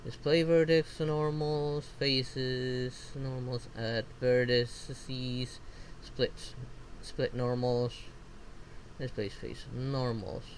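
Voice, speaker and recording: A man whose first language is not English, reading software documentation aloud slowly and haltingly and breaking off for casual asides. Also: medium pitch, flat delivery, slight background noise, rough recording. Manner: slow halting reading with self-interrupting asides. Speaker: vtuber streamer. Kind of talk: reading software docs aloud while learning.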